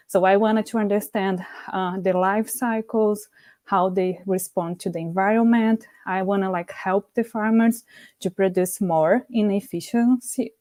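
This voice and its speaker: A woman's voice: medium pitch, mellow, no room sound. A young woman from Brazil with a Brazilian Portuguese accent, answering interview questions carefully with many "like" and "uh" fillers. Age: young adult. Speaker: graduate student guest.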